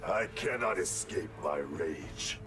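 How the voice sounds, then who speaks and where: Video game ninja: deep, slow, measured, brooding, formal and vengeful. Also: male voice, animated, distant mic, over music, full character voice. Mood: grave, brooding. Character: vengeful male ninja warrior, grave and brooding. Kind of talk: video game dramatic dialogue.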